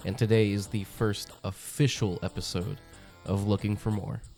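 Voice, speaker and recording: Congested young guy, deep gravelly voice, chatty comic review host, lots of ums, soft music behind. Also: level tone, moderate pace. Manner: chatty, enthusiastic, many ums and uhs. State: congested from a cold. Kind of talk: casual review monologue.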